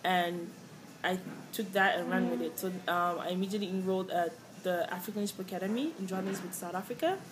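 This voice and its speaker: A woman's voice: lowish voice, quick pace. A young woman from Cameroon, telling her story in an interview, earnest and reflective, with frequent fillers and restarts. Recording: clear background noise.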